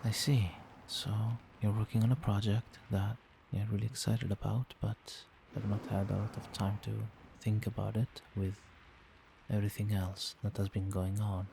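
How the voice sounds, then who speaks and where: A man as a low, soft-spoken doting vampire boyfriend. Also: creaky, background noise. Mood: gentle concern. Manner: tender, reassuring, coaxing. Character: doting, gentle male vampire boyfriend soothing partner to sleep. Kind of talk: soft-spoken ASMR roleplay.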